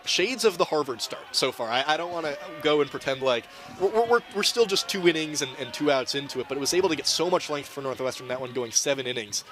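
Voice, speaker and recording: A man's voice: on the high side, lively intonation, fast talker. A young man giving live color commentary and analysis during a baseball game.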